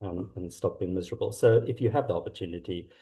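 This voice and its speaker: A middle-aged South African man talking reflectively and haltingly as an author being interviewed. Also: low voice, warm tone. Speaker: author guest. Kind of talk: conversational interview about own work.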